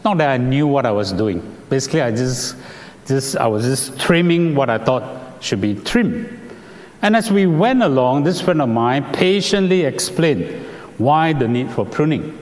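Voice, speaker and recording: A Singaporean man delivers a sermon at an unhurried pace, his voice moving expressively up and down. He explains carefully, now and then stopping on an "uh." His words ring out with the long reverb of a large hall. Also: medium pitch, expressive, darkish tone. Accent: Singaporean English. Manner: measured, explanatory, with "uh" fillers. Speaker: preacher.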